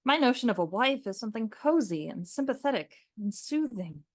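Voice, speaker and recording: Radio play: stubborn, devoted young suitor female performer, earnest, lightly high voice with animated intonation. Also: fairly quick, no room sound, clean studio sound. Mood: determined, fond. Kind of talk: comic radio play acting.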